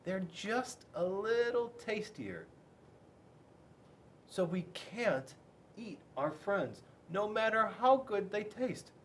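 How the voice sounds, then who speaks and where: A man voicing a gentle, patient dinosaur father in a children's storybook, explaining things with fond exasperation and some hesitation. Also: sits high, a little hiss or hum, pitched above the performer's own voice.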